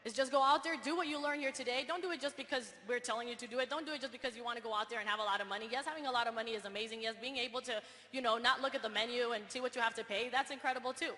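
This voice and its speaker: A woman's voice: fairly high pitch, racing, hardly any pauses, pushed and bright. A woman giving an earnest, insistent motivational speech on stage. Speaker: speaker at a business event. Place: large hall on stage. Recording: reverberant hall via PA.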